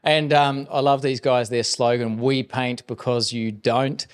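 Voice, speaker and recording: An upbeat man hosts a business podcast at a mid-range pitch. He sounds encouraging and loose, with frequent ums and rephrased questions. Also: flat delivery, measured pace, clear, resonant tone. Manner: upbeat, encouraging, filler-heavy. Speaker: podcast host and business coach. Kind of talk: podcast interviewing.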